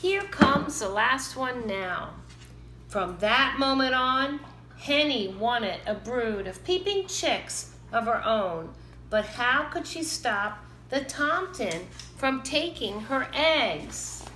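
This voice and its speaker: A woman reads a children's story with animated expression. Her voice is bright and takes its time. It sounds like an echoey room with faint noise. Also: a little high, slow pace. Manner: animated, dramatizes characters' lines. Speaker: storybook reader. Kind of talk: expressive children's read-aloud. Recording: roomy, echoing, some background noise.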